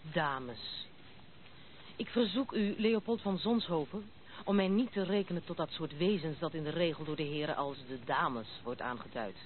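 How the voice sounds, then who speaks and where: A woman's voice: deep voice, moderate pace, lightly acted. An actress playing a proud, outspoken young noblewoman in a Dutch radio play, with a brusque, mocking manner. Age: 20s. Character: blunt, proud, unconventional young noblewoman of twenty-six. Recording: tinny band-limited old radio play recording, noisy.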